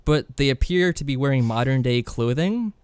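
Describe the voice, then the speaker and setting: A man's voice: mid-range voice, expressive, moderate pace, darkish tone. A man co-hosting a podcast, casually recapping a game in a wry, tongue-in-cheek way. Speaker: podcast co-host.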